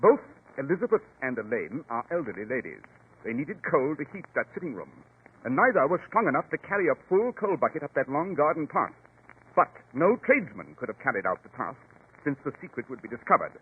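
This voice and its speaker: An actor in a 1940s radio drama playing a shrewd, courteous English detective, formal and self-assured, with a British accent. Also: male voice, medium pitch, big pitch swings, fairly quick, husky. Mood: assured, explaining. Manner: precise, formal, authoritative. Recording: muffled, tinny 1940s radio recording.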